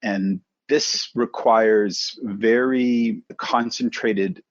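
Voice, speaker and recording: A middle-aged man from Canada, a presenter coach telling his career story in a relaxed, thoughtful interview. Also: fairly low pitch, extremely slow, no echo, lo-fi sound.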